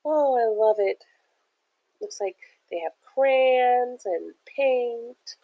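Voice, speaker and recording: A woman's voice: a little high, expressive, very slow, narrow, tinny audio. A woman reading a picture book aloud like a teacher, with chatty, encouraging asides that explain things simply to young listeners. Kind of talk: animated children's read-aloud with chatty asides.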